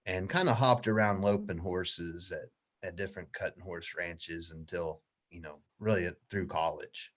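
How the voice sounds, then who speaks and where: A man around forty, a guest in a casual interview, telling stories in a relaxed, easygoing way. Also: lowish voice. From United States.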